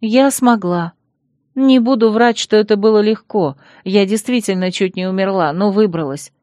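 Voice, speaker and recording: A woman narrating a first-person fantasy novel in a measured, composed way. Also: medium pitch, mellow, dry recording, studio quality. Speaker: audiobook narrator.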